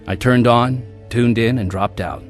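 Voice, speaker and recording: A man's voice: mid-range voice, unhurried, faint music behind, close to an everyday voice. An actor narrating and playing a young man looking back on his wild youth in a radio drama.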